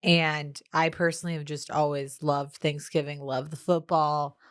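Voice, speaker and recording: Nasal, low-voiced woman, dry delivery. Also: flat delivery, moderate pace, dry recording. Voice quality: nasal, deep-ish. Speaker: podcast co-host. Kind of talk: casual chat.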